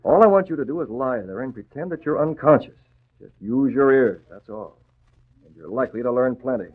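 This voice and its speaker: An actor playing a cool, commanding masked vigilante in a 1940s radio drama, giving clipped, taunting orders. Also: male voice, mid-range voice, animated, a little fast, slightly rough. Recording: muffled tinny vintage radio recording.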